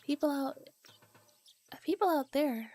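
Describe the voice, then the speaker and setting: Female game streamer, mellow and a little high, soft ASMR read-aloud delivery drifting into whispers, music underneath. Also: lively intonation, takes it slowly. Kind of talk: relaxed visual novel read-aloud with chat asides, ASMR. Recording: music behind the voice. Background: background music.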